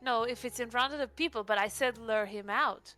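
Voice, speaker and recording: A woman's voice: a little high, brisk, pushed and bright, soft background music. A woman playing a tabletop roleplaying game, asking questions and floating plans in a tentative, casual way. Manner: tentative, inquisitive.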